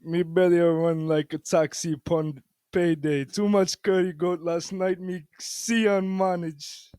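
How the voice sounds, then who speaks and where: A man voicing a griping Jamaican man in a put-on Jamaican accent, slow and drawn out. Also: high voice, flat delivery, darkish tone, clear, resonant tone, no echo. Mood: comic complaint. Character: Jamaican man griping about an upset belly.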